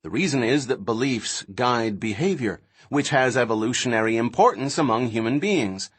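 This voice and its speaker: A man's voice: medium pitch, animated, moderate pace, slightly rough. A man voicing a blunt, contemptuous male scientist in an audiobook, declaiming with sardonic emphasis. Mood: scornful lecturing.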